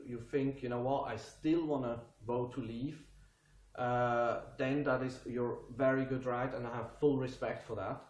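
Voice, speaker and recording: A Swiss-accented man in his thirties talks to the camera in a slow, earnest, deliberate way, gesturing as he explains. His voice sits at an ordinary middle pitch. The small room around him gives a noticeable echo. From Switzerland. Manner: earnest, unhurried, gestures a lot. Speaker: vlogger. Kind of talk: direct-to-camera commentary. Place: small indoor room by a brick wall. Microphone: camera mic at mid distance. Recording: echoey room.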